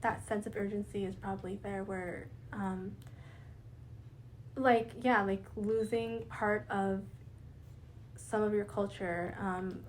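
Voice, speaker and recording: A young Canadian woman talks casually and earnestly, trailing through "like" and "um" as she gathers her thoughts. Her pitch sits in the middle range, and a faint hiss of noise runs under the recording. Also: steady tone, slow, pauses often, low-quality recording. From Pakistani family, raised in Canada. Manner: lots of "like" fillers, earnest, sympathetic. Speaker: podcast guest. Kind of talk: casual conversation.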